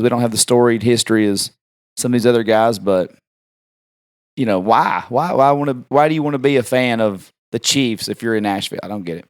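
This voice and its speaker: A man's voice: medium pitch, no echo, clean studio sound. A man hosting a podcast, chatting casually, retelling conversations with many ums and you-knows. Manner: conversational, acts out quoted exchanges, frequent fillers. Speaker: podcast host.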